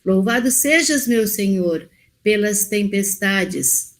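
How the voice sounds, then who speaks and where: A woman reading a prayer aloud in a slow, gentle way. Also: mid-range voice, expressive. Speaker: prayer reader.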